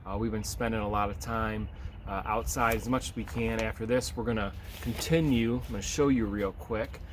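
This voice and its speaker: A man's voice: lowish voice, steady tone, moderate pace. A man talking casually and reading a children's book aloud in an encouraging way, like a teacher, on an outdoor patio. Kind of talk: casual talk and expressive read-aloud. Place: outdoors on a home back patio. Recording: outdoor home recording, faint background noise.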